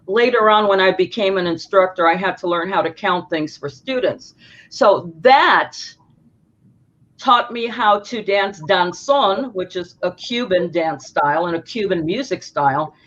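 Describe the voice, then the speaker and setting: A woman tells stories about dancing as a guest, with lively energy. Her voice comes through dull and a little lo-fi, like a remote call. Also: lowish voice, a little slow. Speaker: guest, dance instructor. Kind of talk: casual interview talk. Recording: dull lo-fi sound.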